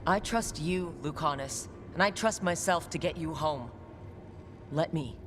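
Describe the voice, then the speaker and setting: A woman's voice: low voice, unhurried. A woman playing a resolute, loyal hero in a video game, speaking in an earnest, firm way. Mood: reassuring. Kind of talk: video game character acting. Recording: clear background noise.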